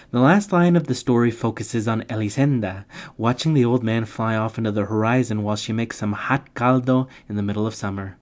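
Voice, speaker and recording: A man with a fairly low voice delivers a scripted, explanatory narration. He sounds playful and tongue-in-cheek, dropping slangy comic asides in among the more formal lines. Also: a little mellow. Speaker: narrator of literature summary videos.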